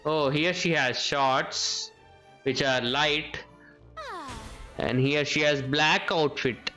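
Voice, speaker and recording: A man's voice: fairly high pitch, steady tone, slow pace, bright, forceful tone. A man giving casual live game commentary, thinking aloud and often repeating his phrases. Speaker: game streamer. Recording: game music behind the voice. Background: video game music.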